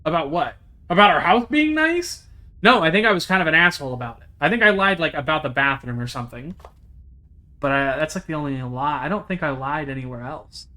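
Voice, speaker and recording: A young man streaming a visual novel, chatting casually with his viewers. He second-guesses himself out loud, restarts his sentences and swears casually. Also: on the high side, animated, fairly quick. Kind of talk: casual live-stream commentary while playing a visual novel.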